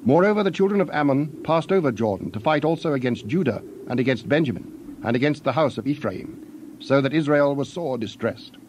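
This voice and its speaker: A man narrating scripture in a solemn, measured storytelling style. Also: mid-range voice, lively intonation, darkish tone, a little hiss or hum.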